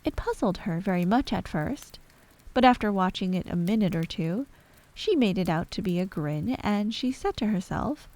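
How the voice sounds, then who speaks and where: A woman's voice: mid-range voice, expressive, moderate pace, darkish tone. A woman storyteller reading a scripted bedtime story in an ASMR narration style.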